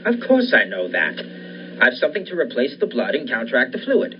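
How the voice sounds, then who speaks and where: A man's voice: mid-range voice, huge pitch swings, fairly quick. A young man playing an obsessed, commanding scientist and surgeon in the style of a 1940s radio thriller. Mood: urgent, commanding. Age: young adult. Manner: commanding, insistent. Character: obsessed scientist surgeon bent on reviving his dead wife. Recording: dull lo-fi audio, faint noise.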